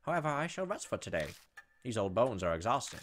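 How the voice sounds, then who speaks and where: A man's voice: mid-range voice, big pitch swings, a little fast, a bit bright, slight background noise. Man voicing a genteel older lady fortune teller. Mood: resigned, weary. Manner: formal, genteel. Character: poised older woman fortune teller, courteous and reliable. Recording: music behind the voice.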